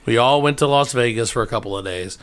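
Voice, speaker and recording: A man's voice: mid-range voice, fast talker, clean tone. A man with a Southern American accent co-hosting a comedy podcast, joking and rambling in casual banter. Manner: animated, joking, rambling with restarts. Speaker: podcast co-host.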